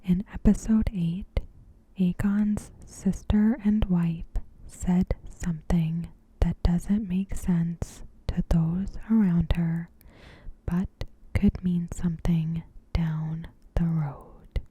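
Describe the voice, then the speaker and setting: A woman softly whispering scripted ASMR commentary in a breathy, gentle and measured way. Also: lowish voice, flat delivery, a little hiss or hum, low-quality recording. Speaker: ASMR host.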